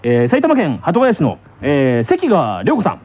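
A man's voice: on the high side, wildly swooping pitch, normal speed, slightly rough. A man hosting a radio show with playful, teasing chat and scripted intros, in Japanese with a slight Kansai touch. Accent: Japanese with some Kansai influence. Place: radio studio. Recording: dull radio broadcast with faint music. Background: faint music.

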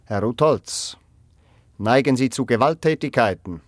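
An actor playing a stern official in a radio drama, questioning formally, curtly and insistently. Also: male voice, medium pitch, expressive, measured pace, no echo, clean studio sound. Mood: probing, curt. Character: stern, probing official interrogating a job applicant.

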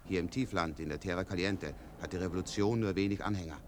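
Male actor as sceptical deserter colonel, low voice, formal, admonishing, sardonic, radio drama, noisy recording. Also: fast, natural voice. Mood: instructing, stern. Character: deserted army colonel, stern, sceptical, calculating strategist. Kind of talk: German radio play acting.

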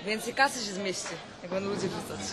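A woman's voice: mid-range voice, fast. A woman with a Polish accent, a passer-by giving casual, playful answers in a street interview. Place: busy city street. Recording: very loud crowd, off-mic street.

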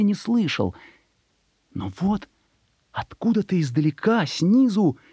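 A man narrating a children's story in an expressive, measured, suspenseful dramatic reading. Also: fairly high pitch, husky, no room sound, studio quality.

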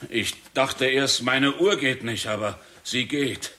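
Schlichter, umständlicher Gärtner, helle Stimme. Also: male voice, medium pitch, slow pace, bright, forceful tone. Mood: bewildered, anxious. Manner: folksy, hesitant, repeats himself. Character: simple, deferential, chatty male gardener. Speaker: actor in a radio drama. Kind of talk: 1960s German radio play acting. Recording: noisy vintage radio recording with clicks.

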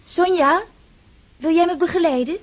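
An actress playing a dreamy, impulsive, affectionate teenage countess in a Dutch radio drama. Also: female voice, very high-pitched, moderate pace. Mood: eager, pleading.

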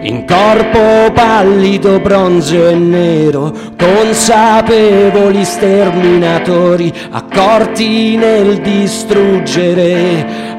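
A man acting in radio theatre as a grave, accusing poet, reciting a lament in a deliberate, incantatory way. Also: high-pitched. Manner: deliberate, incantatory, repeating lines. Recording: guitar music behind the voice.

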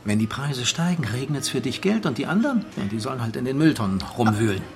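A man's voice: medium pitch, sing-song, quick pace. A man acting in a radio crime drama as a resentful rival with wounded pride, rambling and insistent. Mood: bitter rambling. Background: clear music.

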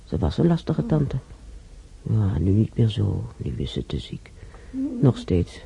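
A woman's voice: very deep voice, very animated, a little slow, vocal fry, full character voice. An actress playing a chatty, wistful old woman who muses aloud and rambles in a radio drama. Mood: worried, hurt. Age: older. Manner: rambling, musing aloud, repeating herself. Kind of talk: radio drama monologue and dialogue. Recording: dark, slightly noisy radio drama recording.

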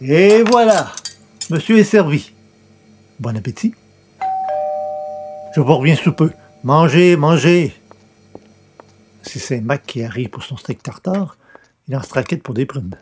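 A man's voice: on the high side, expressive, measured pace, long pauses, music in the background. A man playing a genial, bumbling newspaper columnist in a radio comedy, hesitant and coaxing. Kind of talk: old-time radio comedy acting.

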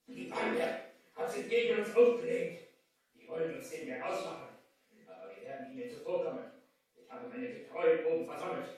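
Man acting a wild high-pitched undead ship captain. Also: expressive, moderate pace, a little mellow, faint music behind, lightly acted. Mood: rough, commanding. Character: wild undead ghost-ship captain, hard-drinking and commanding. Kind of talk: radio play acting. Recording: echoey distant old vinyl record.

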